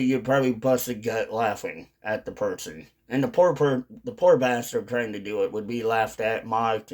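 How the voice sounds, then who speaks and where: A man with a mid-range voice speaks off the cuff in a casual vlog style. He rambles through his thoughts, often restating and rewording what he has just said. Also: mid-range voice. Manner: rambling, repeats and rephrases points. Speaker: video creator on a dipping tobacco channel. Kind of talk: casual unscripted vlog monologue.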